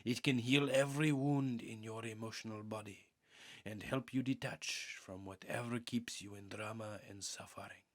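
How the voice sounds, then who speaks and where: Husky, low-voiced man reading meditatively. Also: steady tone. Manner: earnest, measured, prayerful delivery with deliberate pauses. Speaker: audiobook reader. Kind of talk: slow meditative spiritual reading.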